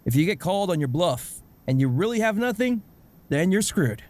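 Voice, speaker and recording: A man playing a cheerful, easygoing middle-aged dad in a webcomic dub, jovial and expressive. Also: high-pitched, normal speed. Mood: encouraging. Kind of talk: webcomic fan dub acting.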